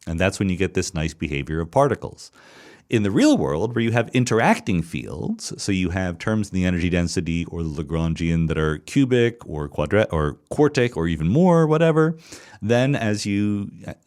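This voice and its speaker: A man's voice: deep voice, expressive, moderate pace, a little mellow. A middle-aged man hosting a podcast, answering listener questions in a thoughtful solo monologue, explaining step by step with frequent restarts and repeated words.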